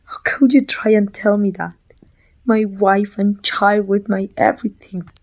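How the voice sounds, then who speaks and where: A woman from Mexico playing a weary, bittersweet supervillain in an ASMR roleplay, breathy and often near a whisper, with slow, deliberate phrasing. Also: mid-range voice, fairly even intonation, darkish tone, lightly acted. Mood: shocked, anguished. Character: weary, bittersweet female supervillain confronting a dying rival.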